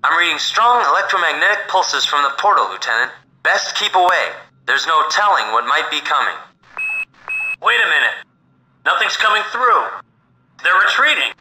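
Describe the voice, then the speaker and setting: Commanding officer over echoing, noisy radio. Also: male voice, on the high side, big pitch swings, moderate pace, pushed and bright, full character voice. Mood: wary. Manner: commanding, clipped, serious. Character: authoritative male military commander briefing a lieutenant. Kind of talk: scripted game radio briefing.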